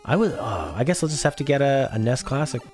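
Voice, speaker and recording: A hoarse man hosting a game stream, chatting casually and joking with his co-host and the chat. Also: medium pitch, sing-song, quick pace, darkish tone, faint music behind. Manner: joking, rambling, talks to chat. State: hoarse from a strained voice. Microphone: desk streaming mic.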